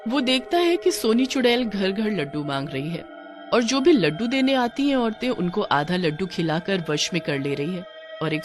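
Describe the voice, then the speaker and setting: A storyteller delivers scripted horror narration in a dramatic style. The intonation is lively and varied, and music plays clearly behind the voice. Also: mid-range voice.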